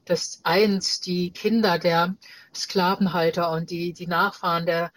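A middle-aged or older woman from Germany recounting personal experiences as an interview guest, reflectively and haltingly, searching for words. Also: fairly low pitch, flat delivery, no echo, low-quality recording. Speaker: interview guest, journalist and activist.

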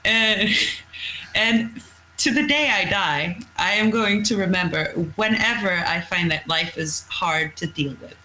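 Norwegian woman, non-native English speaker, bright clear tone, casual guest storyteller, light background hiss. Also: medium pitch, unhurried, rough recording. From Norway. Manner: candid, storytelling, blunt with mild cursing. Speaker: guest, coastal fisherman. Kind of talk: casual interview chat.

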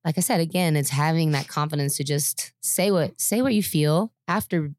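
A woman podcast host with a notably low voice, chatting candidly and casually with a friend and swearing now and then. The recording is close and dry, with no room sound. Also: moderate pace, darkish tone, dry recording. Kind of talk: casual chat between friends.

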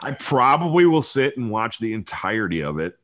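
A man's voice: fairly low pitch, big pitch swings, normal speed, a bit bright. A man hosting a casual podcast chat, rambling and self-deprecating, swearing freely. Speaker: podcast host.

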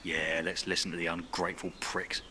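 An actor playing a bitter, defiant construction worker, grumbling with sarcasm in a naturalistic audio drama. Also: male voice, low-pitched, flat delivery, a little fast, slight background noise. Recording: loud music and crowd behind.

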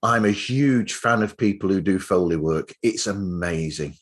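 A man's voice: low voice, a little slow. A man hosting a podcast interview in a casual, chatty way.

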